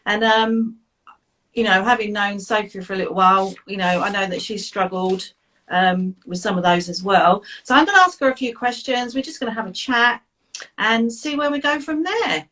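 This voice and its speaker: A woman's voice: medium pitch, moderate pace, fairly bright tone, low-quality recording. A middle-aged woman hosting a live interview over a video call, upbeat and enthusiastic. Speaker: host, therapist and coach.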